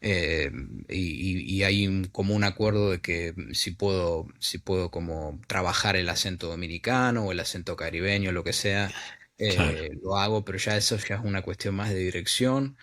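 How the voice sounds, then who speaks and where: A man's voice: low voice, moderate pace, few pauses, a bit bright. A man with an Argentine Spanish accent, an actor talking casually as an interview guest, searching for words with many fillers and repeats. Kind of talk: casual conversation.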